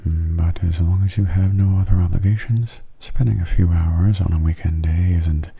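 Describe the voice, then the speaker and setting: A man giving soft-spoken ASMR commentary on a game in a gentle, unhurried, casual way, his hushed voice often slipping into whispers. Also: low-pitched, steady tone, gravelly, husky. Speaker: gameplay video host.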